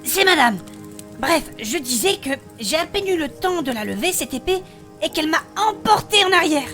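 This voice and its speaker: A woman playing a spirited female blacksmith adventurer, recounting her past in animated, colloquial in a fantasy audio drama. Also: high voice, moderate pace, soft background music. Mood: lively storytelling. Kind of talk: French fantasy audio fiction acting.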